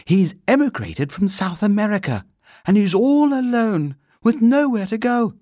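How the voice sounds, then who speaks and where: Storybook young girl played by a narrator: high, very animated, friendly, chatty, eager to reassure. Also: measured pace, no echo, clean studio sound. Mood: earnest, explaining.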